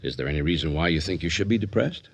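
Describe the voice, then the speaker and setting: Very deep-voiced, composed psychiatrist. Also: male voice, no room sound. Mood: composed, clinical. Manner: measured, calm, clinical. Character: composed male psychiatrist recounting a strange case. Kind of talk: radio drama narration and acting.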